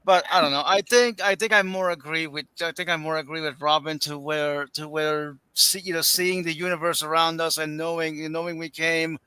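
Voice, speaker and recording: A man's voice: a little high, bright, forceful tone, clean tone, no echo. A man joining a casual debate call, joking and self-deprecating.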